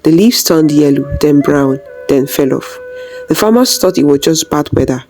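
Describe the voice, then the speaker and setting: A woman's voice: low-pitched, expressive, moderate pace, dark tone, faint music behind. A woman narrating a scripted folktale as a storyteller.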